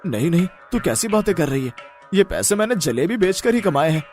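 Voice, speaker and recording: A man plays a poor but joyful village husband in an animated moral tale. His voice is fairly high and swoops expressively, eager and reassuring, over soft background music. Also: brisk. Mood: happy, reassuring. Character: cheerful, humble poor jalebi seller, loving village husband. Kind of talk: Hindi animated moral story voice acting.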